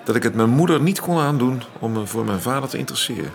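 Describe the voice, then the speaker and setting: An actor in a radio play as a restless, evasive man in his thirties, speaking naturally and haltingly. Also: mid-range voice, animated, creaky. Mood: guarded. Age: 30s. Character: restless, evasive male friend, a war criminal's son. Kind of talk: naturalistic radio drama acting.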